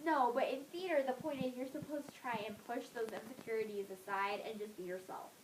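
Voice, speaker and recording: A woman's voice: on the high side, a little fast, slight background noise, low-quality recording. A teenage girl answering interview questions casually, with lots of fillers, trailing off at the ends of her thoughts. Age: teens. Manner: chatty, filler-heavy, trailing off.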